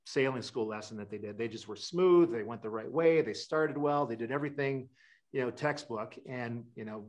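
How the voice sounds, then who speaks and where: A middle-aged man from Wisconsin, a sailor answering interview questions in a loose, rambling way with many fillers and restarts, over a webcam. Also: mid-range voice. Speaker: guest sailor. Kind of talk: casual interview talk. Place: indoor room.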